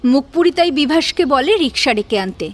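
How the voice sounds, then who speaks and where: High-pitched female dramatic story narrator. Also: animated, brisk.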